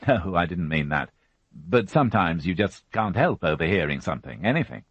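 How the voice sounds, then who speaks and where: A man voicing a patient, weary male chief inspector who questions calmly and evenly, in a storybook reading. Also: sits low, moderate pace, breathy edge, dry recording, full character voice. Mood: questioning. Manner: calm, level questioning. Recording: dull, dry studio audiobook.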